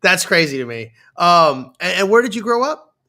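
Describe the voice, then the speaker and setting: A man's voice: high voice, sing-song, normal speed, sharp tone. A man in his thirties hosting a podcast, chatting casually as he interviews a guest. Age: 30s. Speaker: podcast host.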